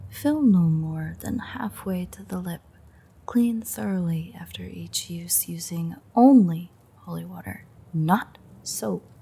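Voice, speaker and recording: A woman's voice: fairly low pitch, slow pace, gravelly, warm tone, slightly rough, heavily acted. A woman playing a sardonic, threatening female vampire with a secret fondness, in a wry, teasing, half-whispered audio roleplay. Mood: dry reading aloud. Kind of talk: intimate audio roleplay acting.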